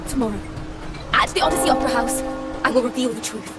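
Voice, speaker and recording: A woman's voice: fairly high pitch, fast, loud music behind the voice, natural voice. An actress playing a composed young female bard who investigates hidden truths in a video game. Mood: solemn, resolute. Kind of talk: scripted video game dialogue.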